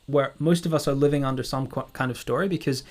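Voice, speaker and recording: A man's voice: mid-range voice, fairly even intonation, quick pace. A man from South Africa talking reflectively and casually on a podcast, philosophical and articulate, often posing questions. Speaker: podcast speaker.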